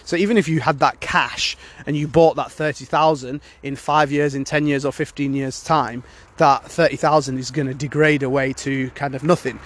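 A man's voice: medium pitch, fairly even intonation, normal speed, hardly any pauses. A man hosting a casual solo podcast, sounding congested from a cold and rambling with many fillers and restarts. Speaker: podcast host.

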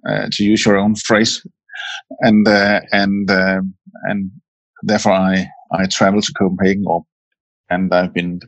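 A Danish man in his fifties, a lawyer speaking English as a guest, pausing and repeating words as he searches for phrasing. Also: low voice, dry recording, lo-fi sound. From Denmark. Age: 50s. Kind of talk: casual interview chat.

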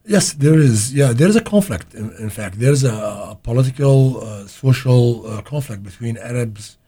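Middle-aged Kurdish-accented man, warm low-key tone, talks haltingly with restarts. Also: lively intonation, slightly rough. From Iraqi Kurdistan. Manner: halting, many uh fillers and restarts. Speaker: guest, hospital interpreter. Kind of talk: casual interview talk.

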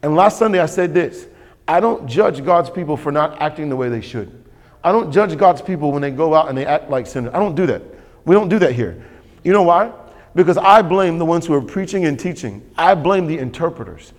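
A man's voice: fairly high pitch, animated, brisk. Preaching man in a reverberant church. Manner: emphatic, repetitive preaching with call-and-response. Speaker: pastor. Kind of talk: sermon. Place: church sanctuary. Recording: long hall reverb.

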